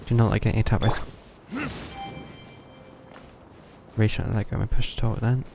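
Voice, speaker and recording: A man with a lowish, gravelly voice casually narrates his own gameplay on a live stream. He mutters and thinks aloud to himself over clearly audible background noise. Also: big pitch swings, moderate pace, dark tone. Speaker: game streamer.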